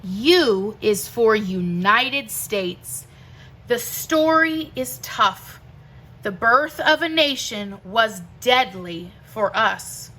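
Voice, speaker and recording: A woman reads a children's picture book aloud at a very slow, measured pace. Her voice is bright and fairly high, and she places each phrase with deliberate emphasis, as a teacher would. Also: expressive. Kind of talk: expressive read-aloud of a rhyming picture book.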